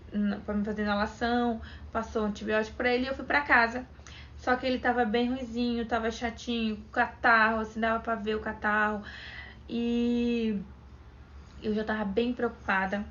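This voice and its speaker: A woman's voice: a little high, flat delivery, moderate pace, fairly bright tone. Chatty young woman vlogging to camera. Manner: chatty, retelling events with quoted dialogue. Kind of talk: casual chat to camera. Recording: dull lo-fi sound with faint background noise.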